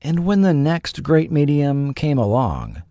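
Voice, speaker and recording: Male host-narrator for scripted documentary: dramatic, unhurried delivery, lively intonation, dry close sound. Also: fairly high pitch, no room sound. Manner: dramatic, deliberate storytelling.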